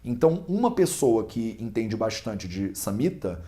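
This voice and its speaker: A man's voice: lowish voice, very animated, unhurried, warm tone. A young man with a Carioca accent from Brazil, patiently explaining a subject in an interview with everyday analogies and some restarts. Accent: Carioca Brazilian Portuguese. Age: young adult. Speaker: Ayurveda student interviewed as a guest.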